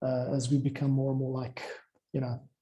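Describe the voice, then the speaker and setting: A man's voice: mid-range voice, completely flat delivery, moderate pace, warm tone, dull audio. A man talking informally about audio production, explaining things in a rambling way as he thinks aloud. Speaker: audio drama music creator.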